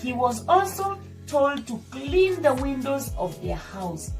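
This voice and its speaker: A woman's voice: high-pitched, sing-song, slow. A woman reading a children's story aloud as a teacher, explaining and repeating points in a didactic way for young listeners. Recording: distant microphone, music behind the voice. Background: music.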